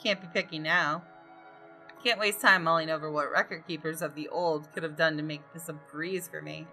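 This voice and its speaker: A woman reads first-person game narration aloud in a crisp, bright tone. Her pitch is slightly low for a woman, and her delivery is deliberate and dramatic. Soft music sits behind the voice. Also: fairly even intonation. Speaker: gaming video host. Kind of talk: reading visual novel narration aloud.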